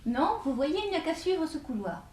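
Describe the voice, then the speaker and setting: An actress playing a composed, frank but guarded young bereaved daughter in a 1960s French radio drama, with measured theatrical diction. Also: female voice, high voice, sing-song, darkish tone, slight background noise. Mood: subdued.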